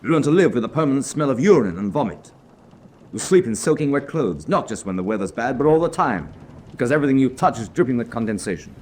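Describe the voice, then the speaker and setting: An actor playing a proud, hard-bitten German U-boat officer in a radio drama, with clipped, emphatic delivery. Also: male voice, mid-range voice, sing-song, fast talker, a little mellow, natural voice. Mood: bitter, impassioned. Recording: clearly noisy background ambience.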